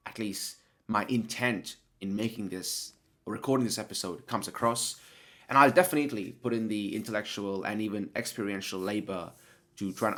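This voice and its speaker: Sniffly man with a cold, low voice. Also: a bit bright. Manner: earnest, hesitant, self-correcting. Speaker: podcast host. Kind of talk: solo podcast monologue.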